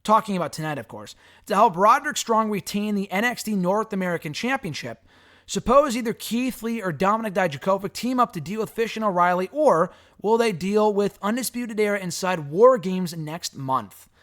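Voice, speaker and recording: Hoarse, high-voiced man answering fan questions. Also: lively intonation, moderate pace, fairly bright tone. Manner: rambling, frank, swears casually. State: hoarse, raspy voice. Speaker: wrestling podcast host answering fan questions. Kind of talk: casual solo commentary.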